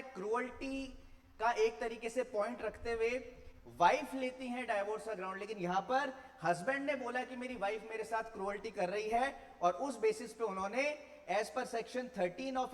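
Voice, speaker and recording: A man with an Indian accent giving an explanatory law lecture, mixing Hindi and English and putting rhetorical questions to students. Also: high-pitched. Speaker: law teacher. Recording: long hall reverb.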